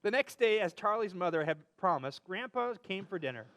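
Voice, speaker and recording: Animated, high-pitched man reading to kids. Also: a little fast. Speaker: host and storybook reader for children. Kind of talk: storybook reading with casual comic banter.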